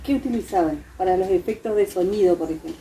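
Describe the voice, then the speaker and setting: Argentine woman interviewing, super mellow dark voice, little agreeing words, faint background noise. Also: mid-range voice, fast talker, rough recording. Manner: frequent short affirming interjections. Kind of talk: conversational interview.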